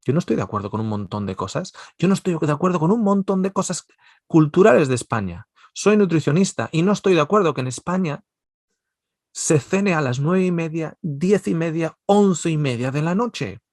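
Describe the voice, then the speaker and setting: A middle-aged man from the Basque Country in Spain, speaking with a Spanish accent and giving an animated, digressive seminar talk full of restarts. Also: on the high side, moderate pace, dry recording, studio quality.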